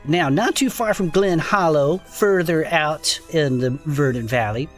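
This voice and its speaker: A performer playing a folksy, excitable male podcaster in a comedic audio drama, reading strange reports aloud with incredulous asides. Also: medium pitch, a little slow, soft background music, natural voice. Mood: amused, intrigued. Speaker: co-host reading case reports.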